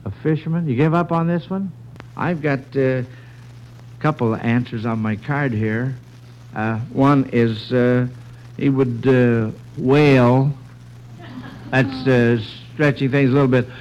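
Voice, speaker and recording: A man hosting an old-time radio quiz, speaking in a halting, encouraging and agreeable way. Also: mid-range voice, fairly even intonation, a little mellow, dull audio, slight background noise. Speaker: quiz show host.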